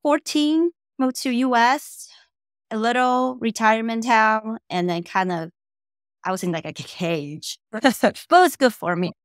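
Animated young woman. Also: fairly high pitch, a little slow, dry recording, studio quality. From Taiwan. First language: Mandarin. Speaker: podcast co-host. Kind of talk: casual chat. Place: small bedroom at home. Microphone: laptop webcam on a video call.

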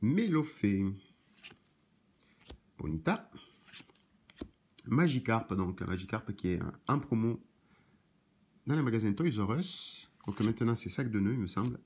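Hoarse man opening trading cards. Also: lowish voice, animated, a little mellow, a little hiss or hum. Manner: reads card names in quick succession, chatty asides. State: slightly hoarse, clearing throat. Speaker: card shop owner and YouTuber. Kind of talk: casual card-opening vlog. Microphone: camera framing hands and cards, speaker unseen.

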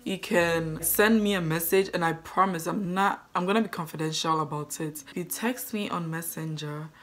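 A young woman with a deep, low voice reading a daily devotion aloud, then reflecting on it earnestly in a casual way, filming herself up close in her bedroom. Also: normal speed, a bit bright. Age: young adult. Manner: earnest, thoughtful, with fillers like "like". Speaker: devotional vlogger. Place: bedroom with a tapestry on the wall.

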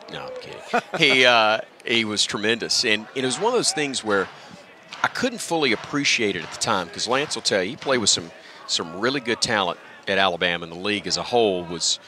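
Very deep, bright-voiced middle-aged man. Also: lively intonation, a little fast, a little hiss or hum. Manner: self-deprecating, joking, reflective. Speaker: guest, radio play-by-play announcer. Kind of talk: conversational broadcast booth interview. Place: stadium press booth at a baseball game. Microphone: broadcast headset. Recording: outdoor ballpark ambience behind voice.

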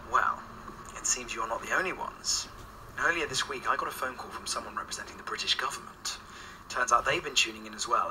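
An actor playing an earnest, easily flustered male podcaster in a radio drama, halting and stammering when thrown. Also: mid-range voice, natural voice. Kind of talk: naturalistic radio drama acting. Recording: distant location-style recording with background noise.

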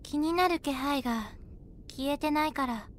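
A woman playing a mysterious, quiet fortune-telling schoolgirl in an anime audio drama. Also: high voice, unhurried, long reverb tail, slight background noise. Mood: ominous.

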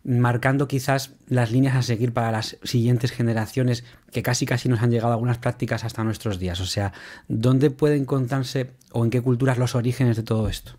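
A man's voice: medium pitch, fairly even intonation, a little mellow. Conversational man hosting an interview. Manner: conversational, drawn-out questions. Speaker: podcast host. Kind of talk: video call interview.

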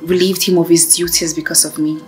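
A woman playing a soft-spoken young woman. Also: on the low side, level tone, brisk, faint music behind, natural voice. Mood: earnest. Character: young woman, apologetic job seeker. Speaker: actor.

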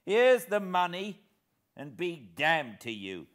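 A man's voice: high voice, wildly swooping pitch, takes it slowly, voice pushed up, full character voice. A man putting on a whining, surly tramp. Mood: surly pleading. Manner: surly, loud, beggar's whine. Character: rough, desperate male tramp, surly and whining. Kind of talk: audiobook character voice.

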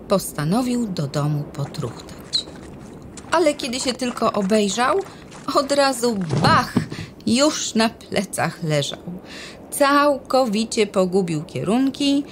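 A woman narrating a children's story in gentle sing-song rhymes. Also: mid-range voice, noisy background. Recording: music bed under voice.

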